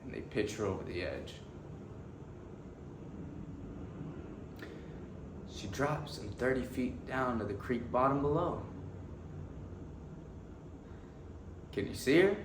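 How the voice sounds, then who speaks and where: An actor playing an earnest, anguished defense lawyer pleading to a jury, delivering a deliberate, emotional courtroom monologue with weighted pauses. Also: male voice, mid-range voice, lively intonation, lightly acted. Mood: anguished, pained. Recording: echoey room with faint background noise.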